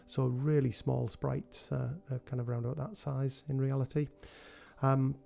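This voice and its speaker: A man with a cold hosting a relaxed software tutorial, talking through each step with frequent ums. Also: medium pitch, normal speed, creaky. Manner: relaxed, thinking aloud with many ums. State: has a cold. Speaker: game developer tutorial host. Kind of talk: screen-recorded software tutorial.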